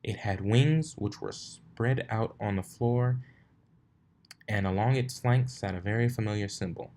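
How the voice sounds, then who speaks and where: A man's voice: on the low side, unhurried, a little mellow. A man narrating fan fiction aloud, now and then stumbling and rereading phrases. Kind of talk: story narration read aloud.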